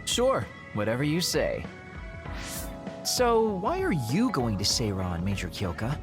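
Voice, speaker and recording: A man's voice: medium pitch, huge pitch swings, a little slow. A man playing a sly, flippant young spy-assassin in an English game dub, glib and teasing. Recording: music behind the voice.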